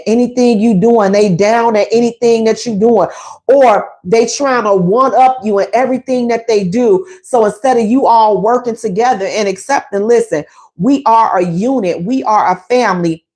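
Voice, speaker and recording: A woman's voice: fairly high pitch, normal speed, dark tone. Slangy, emphatic woman giving dating advice. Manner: conversational, emphatic, repeats points, slangy. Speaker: relationship advice host. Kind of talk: casual advice talk.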